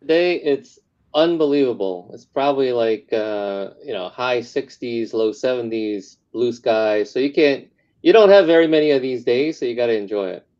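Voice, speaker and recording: A man raised in New York City after coming from Korea, speaking as a founder in an interview. He talks at a mid pitch with frequent uh and um pauses. The audio sounds slightly dull and muffled. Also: animated, a little mellow. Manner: hesitant, many uh and um fillers. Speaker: tech company founder, guest. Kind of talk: interview answers on a remote call.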